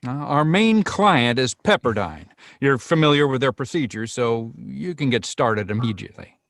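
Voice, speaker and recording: A man's voice: medium pitch, lively intonation, normal speed, fairly bright tone, dry recording, clean studio sound. A man playing a seedy, evasive agency boss in his fifties, with a hesitant salesman's manner, at a screenplay table read. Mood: evasive, businesslike.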